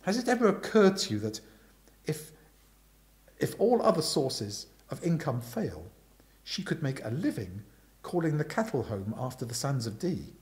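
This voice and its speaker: Man playing a breezy young gentleman, very animated. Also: mid-range voice, moderate pace, close to an everyday voice. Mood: earnest, chatty. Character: amiable, chatty, idle young upper-class gentleman. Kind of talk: theatrical storybook reading.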